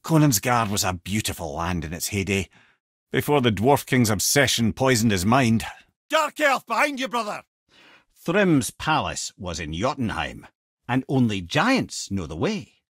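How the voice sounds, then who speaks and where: A Scottish actor voicing a witty, talkative old male sage in a video game, with a husky voice and a wry storyteller's manner. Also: medium pitch, sing-song, a little slow, a bit bright, dry recording, clean studio sound, heavily acted. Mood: urgent warning.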